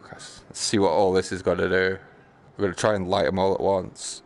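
A man giving casual, playful and sweary live commentary while streaming a video game, with an excitable manner. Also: low-pitched, moderate pace, gravelly.

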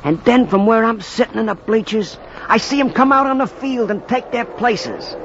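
A man's voice: extremely high pitch, moderate pace, fairly bright tone. A man playing a slangy American baseball fan from Brooklyn, spinning a rhymed tale in a theatrical 1940s radio drama. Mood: suspenseful storytelling. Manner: colloquial, theatrical yarn-spinning. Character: slangy streetwise male Brooklyn bleacher fan telling a tale. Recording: muffled, noisy 1940s radio recording.

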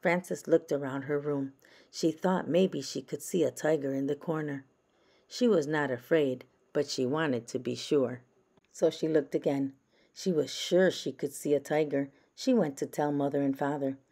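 Female picture-book reader: gentle read-aloud, low register, slightly gravelly creak. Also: fairly even intonation, normal speed. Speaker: storybook reader. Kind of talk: children's read-aloud narration.

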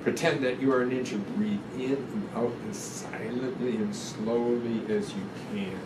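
A man in his seventies reading a children's book aloud in a gentle, deliberate, instructive way, guiding breathing exercises with pauses between phrases. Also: medium pitch, fairly even intonation. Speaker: guest doctor reading a picture book aloud. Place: indoor room in front of a sequined curtain. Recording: roomy, distant pickup with noticeable ventilation hum.

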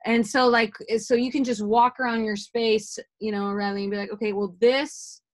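A woman's voice: a little high, steady tone, normal speed. A woman in her thirties teaching a class over a video call from home, talking casually with many fillers. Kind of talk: online class instruction. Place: home room with art on walls. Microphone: laptop webcam video call.

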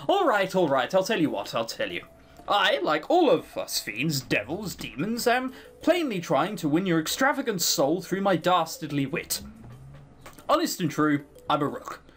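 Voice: medium pitch, very animated, moderate pace, fairly bright tone, slight background noise. A let's player voicing a bored, centuries-old female demon, teasing and grandiose in a visual novel game. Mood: coaxing, theatrical. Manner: teasing, grandiose, drawling for effect.

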